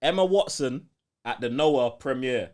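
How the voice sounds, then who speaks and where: A man's voice: medium pitch, expressive, measured pace, noisy background. A man with a London accent co-hosting a casual live podcast, bantering in slang and reading out viewer messages. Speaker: podcast co-host reading out super chats.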